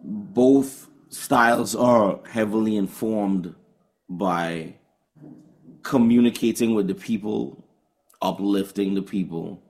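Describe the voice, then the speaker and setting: A man's voice: lowish voice, slow pace, darkish tone. A man with a Trinidadian accent, a touring jazz musician answering interview questions earnestly, often restarting his phrases.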